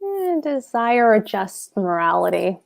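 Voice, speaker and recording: A woman's voice: medium pitch, sing-song, a little slow, gravelly. A woman reading a smug, philandering married landlord in a play, speaking in a blunt, coaxing, suggestive way. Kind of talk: play table read.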